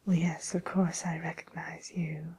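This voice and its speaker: A woman playing a polite, attentive receptionist at a fairy-tale dating agency in a soft, breathy, hesitant whisper, as an ASMR roleplay. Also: deep voice, flat delivery, unhurried, exaggerated voice.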